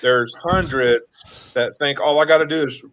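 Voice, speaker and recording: A man on a panel chat making quick, offhand remarks. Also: mid-range voice, fairly bright tone, muffled recording.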